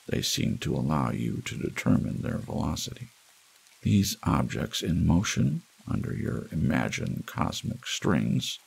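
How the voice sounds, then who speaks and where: A man narrating noir fiction as an ASMR audiobook, deliberate and dramatic, with a gravelly, husky voice. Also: rumbling low. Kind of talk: scripted second-person noir fiction narration, read as ASMR. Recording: rain sounds behind the voice. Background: rain and wind ambience.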